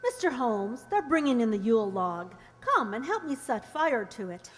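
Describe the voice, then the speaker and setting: A woman's voice: on the high side, sing-song, normal speed. A woman playing a sweet, flighty young aristocratic bride in an amateur radio play. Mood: playful. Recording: reverberant hall-like radio play recording.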